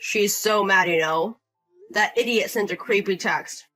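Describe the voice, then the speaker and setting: A woman's voice: medium pitch, measured pace, fairly bright tone, soft background music, lightly acted, low-quality recording. A woman voicing a protective husband who firmly confronts his older brother, indignant and stern, in a voice-acted drama story. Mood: angry.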